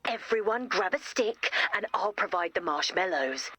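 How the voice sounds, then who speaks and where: A woman's voice: mid-range voice, lively intonation, moderate pace, sharp tone, slight background noise. A woman voicing a chipper camp counselor in a video game, with an upbeat, theatrical storytelling manner. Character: chipper, theatrical female camp counselor telling spooky stories. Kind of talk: video game character acting.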